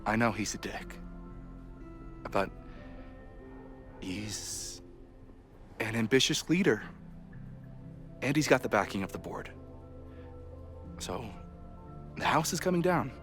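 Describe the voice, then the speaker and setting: A wry, harried university aide in a naturalistic video game drama. His pitch moves in animated swings, with theatrical sarcasm and exasperation. Faint music plays behind him. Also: takes it slowly, long pauses. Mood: exasperated, defensive.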